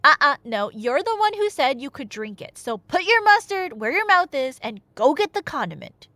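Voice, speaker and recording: Animated, high-voiced woman storyteller, playfully teasing. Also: normal speed, dry recording. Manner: playful, teasing, conversational with dramatic emphasis. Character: nerdy girlfriend excitedly retelling Greek myths. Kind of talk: animated casual storytelling roleplay to a listener, ASMR audio.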